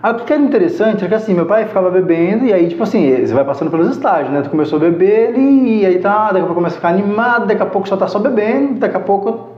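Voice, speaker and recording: A talkative man in his thirties with a fairly high voice. He tells stories from his life in a casual way, laughing often and acting out what people said. The sound is echoey and a bit dull. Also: expressive, very fast. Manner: chatty, laughs often, acts out quoted dialogue. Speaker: podcast guest. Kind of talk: casual storytelling.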